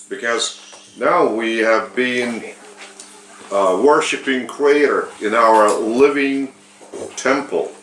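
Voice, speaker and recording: A man from Lithuania, speaking English with a Lithuanian accent, giving an unscripted spiritual sermon with many pauses and fillers. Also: lowish voice, fairly bright tone, echoey room, a little hiss or hum, rough recording. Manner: slow, halting, with frequent pauses and fillers. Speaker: spiritual teacher.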